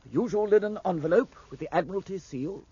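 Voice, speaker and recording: An actor playing an insinuating, melodramatic ship's surgeon with a husky voice in a 1950s radio drama. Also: male voice, sits high, fast talker, dark tone. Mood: agitated. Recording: dull old radio recording.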